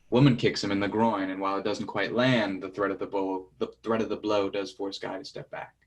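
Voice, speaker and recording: Low-voiced man reading stage directions. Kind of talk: scripted narration of stage directions.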